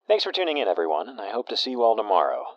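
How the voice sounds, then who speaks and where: A man plays a friendly wasteland radio presenter in an audio drama. He talks quickly with lively intonation, in slightly muffled, dry sound. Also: lowish voice, dry recording. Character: friendly male wasteland radio show host.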